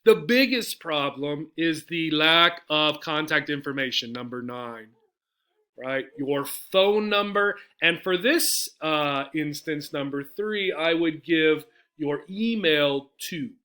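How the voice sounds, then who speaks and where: Bright-voiced male English teacher explaining. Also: on the high side, slow pace. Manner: conversational, often checks in with "right?". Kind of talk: explanatory lesson with example phone messages.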